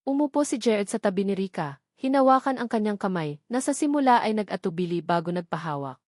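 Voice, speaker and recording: A woman narrating a scripted romantic drama story in a measured, dramatic storytelling manner. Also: medium pitch, animated, a little mellow, no room sound, clean studio sound.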